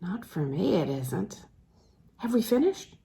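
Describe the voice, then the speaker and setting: A woman's voice: mid-range voice, big pitch swings, takes it slowly, vocal fry, mellow, heavily acted. A woman acting an earnest, devoted young woman from a novel, sincere and easily flustered. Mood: quiet sincere.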